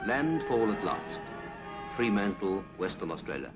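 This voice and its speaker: Male British narrator, lowish pitch, formal and measured, 1950s government documentary read with prominent music underneath. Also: very animated, dull audio. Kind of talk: 1950s British public information film narration, scripted. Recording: 1950s film soundtrack with music.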